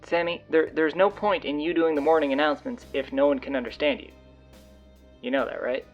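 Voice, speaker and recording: An actress playing a bossy, sardonic teenage high school girl with a blunt, exasperated manner in an audio drama. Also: fairly even intonation, brisk, lo-fi sound, soft background music, lightly acted.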